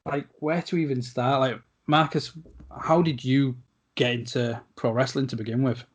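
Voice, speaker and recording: A man's voice: mid-range voice, fairly even intonation, normal speed, husky, lo-fi sound. A man hosting a casual podcast interview, chatty and jokey, with repeated "like".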